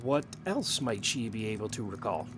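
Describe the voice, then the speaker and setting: A man playing a stern, suspicious superior in an audio drama, questioning a subordinate in a clipped, pointed, quietly menacing way. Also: on the low side, very animated, normal speed. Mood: interrogating, stern. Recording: noticeable background hum and noise.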